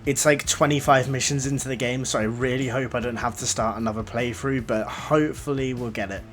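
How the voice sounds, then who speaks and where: A man talks through his gameplay in a chatty, self-deprecating way. His mid-pitched voice is a touch croaky from recent illness. Faint music plays underneath. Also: fairly even intonation, brisk. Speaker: gaming YouTuber. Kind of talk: narrated gameplay commentary.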